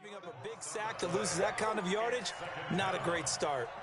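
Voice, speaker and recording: A man's voice: high voice, moderate pace, close to an everyday voice. An American man giving conversational, explanatory color commentary in a football video game. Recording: loud stadium noise behind. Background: stadium crowd and game sounds.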